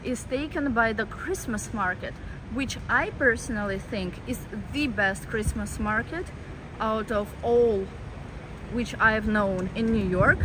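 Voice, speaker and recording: A woman's voice: on the high side, a little slow. A chatty woman on a busy street. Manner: chatty, reads out chat comments. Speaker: travel vlogger. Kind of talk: live-stream walking tour. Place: city street outdoors. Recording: street noise and traffic behind.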